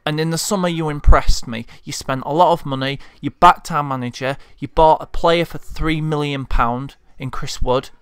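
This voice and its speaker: Man, Leeds accent, smooth clear voice, dry close sound, frustrated pleading fan rant. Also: a little high, fairly even intonation, moderate pace, hardly any pauses, no echo. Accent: Leeds Yorkshire. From Leeds, England. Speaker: football fan vlogger. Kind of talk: impassioned monologue rant.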